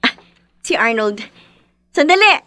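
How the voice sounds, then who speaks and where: An actress playing a polite but weary young daughter in a radio drama, speaking with a Filipino accent. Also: female voice, sits high, sing-song, measured pace, loud music behind the voice. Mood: familiar, tired. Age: young adult.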